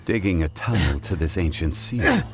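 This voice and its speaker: Serious low-voiced investigator type, formal, barking orders, game music behind. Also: male voice, sing-song, normal speed. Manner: formal, commanding. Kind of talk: video game voice acting.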